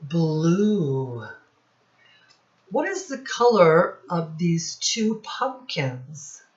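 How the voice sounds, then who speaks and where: A woman teacher speaking to young children in a singsong instructional style, with simple phrases and deliberate repetition. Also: fairly low pitch, big pitch swings, echoey room. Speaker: children's teacher and read-aloud host. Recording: dull, lo-fi sound.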